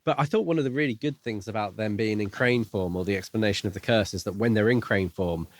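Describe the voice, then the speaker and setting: A man with a slightly low voice in a dry, close recording. He is a podcast co-host chatting casually about a book, with a jokey, giggly manner of retelling. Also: fast talker, no room sound.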